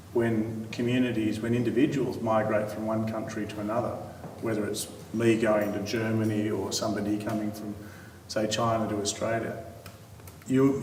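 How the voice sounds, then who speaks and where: Measured male moderator in an echoey hall. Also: lowish voice, a little mellow, a little hiss or hum. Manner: thoughtful, measured questioning. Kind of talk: panel discussion. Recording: echoey hall, distant mic.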